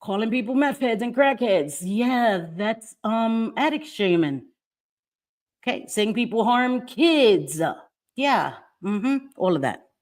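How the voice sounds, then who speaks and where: A woman's voice: a little high, lively intonation, takes it slowly. A middle-aged woman from New York, hosting a casual livestream, speaking emphatically and defiantly and repeating her phrases. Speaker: livestream host. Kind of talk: casual livestream chat, reading viewer comments. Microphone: webcam or phone mic, live stream.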